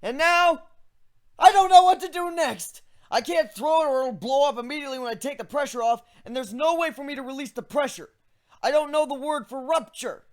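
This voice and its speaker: A man voicing a young male griffin mage who lectures excitedly and chants spell words slowly. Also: extremely high pitch, voice pushed up. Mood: alarmed. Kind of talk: dramatic fan reading.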